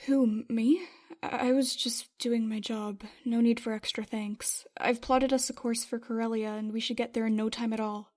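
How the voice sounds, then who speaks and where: A woman's voice: a little high, fairly even intonation, moderate pace, darkish tone, natural voice. A woman playing a modest, earnest young starship pilot in a sci-fi fan roleplay. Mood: modest, flustered. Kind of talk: scripted fan audio roleplay.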